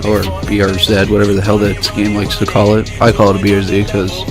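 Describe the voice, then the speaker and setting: A man's voice: lowish voice, completely flat delivery, a little fast. A man giving casual gameplay commentary as host of a video, blunt and offhand in the way he talks. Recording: game music under the voice. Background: game music and car sounds.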